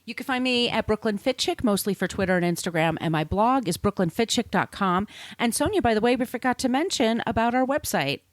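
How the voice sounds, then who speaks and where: A woman's voice: on the high side, animated, a little fast, no room sound. Woman podcast co-host, dry close sound. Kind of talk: casual podcast chat.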